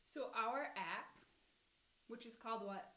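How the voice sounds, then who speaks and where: A woman's voice: on the high side, unhurried, sharp tone, echoey room, far from the mic. A woman co-hosting a casual chat, prompting with short questions. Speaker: co-host asking questions. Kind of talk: casual interview.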